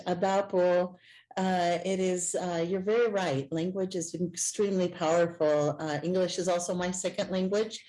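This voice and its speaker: A woman from El Salvador, Spanish her first language, speaks on a video call in a measured, earnest way. Her voice is lowish and mellow. She answers as a panelist for a Native community organization. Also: steady tone, rough recording. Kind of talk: virtual panel remarks.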